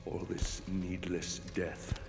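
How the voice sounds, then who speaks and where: Deep, slow, vengeful Roman general. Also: male voice, level tone, gravelly, noisy background, exaggerated voice. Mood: cold anger. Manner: slow, grave, accusing. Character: grim, vengeful Roman general accusing his enemy. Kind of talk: dramatic video game acting. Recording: echoey hall, music behind the voice.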